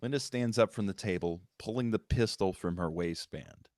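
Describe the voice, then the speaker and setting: A man's voice: lowish voice, normal speed, darkish tone, no room sound, studio quality. A man, the writer, reading out screenplay stage directions as narrator at a live table read.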